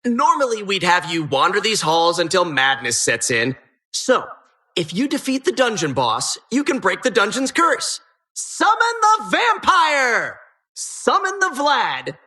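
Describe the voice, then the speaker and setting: A gleefully sinister female dungeon host from a video game. The voice is bright and forceful, leaping up and down as it teases. Every line has a theatrical, mock-welcoming polish with a sardonic edge. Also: medium pitch, sing-song, measured pace. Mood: theatrical, dramatic. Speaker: game announcer.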